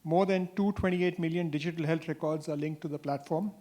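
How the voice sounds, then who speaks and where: A man's voice: a little high, fairly even intonation, a little fast, dark tone. A middle-aged man on a panel, reeling off figures with frequent "uh" and a tag "right?". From India. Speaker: panelist, industry association chair. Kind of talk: panel discussion.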